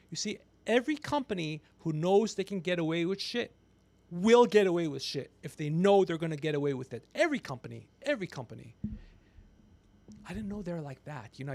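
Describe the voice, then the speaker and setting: A man's voice: high voice, dry recording. A man in his fifties chatting casually on a livestream as host, brash and ranting, swearing freely. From Persian background. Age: 50s. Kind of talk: casual profane livestream chat.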